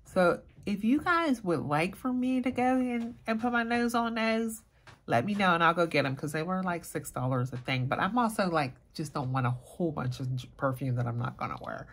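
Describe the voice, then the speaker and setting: A soft-spoken woman chatting casually as a vlogger, murmuring her thoughts quietly as she talks. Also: lowish voice, expressive, normal speed, slightly rough. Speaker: fragrance vlogger. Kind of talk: casual chat.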